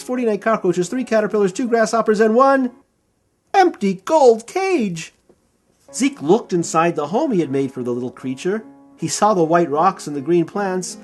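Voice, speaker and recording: Animated man reading a children's storybook. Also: sits high. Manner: animated, expressive, playful emphasis. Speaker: author reading own picture book. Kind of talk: children's storybook read-aloud.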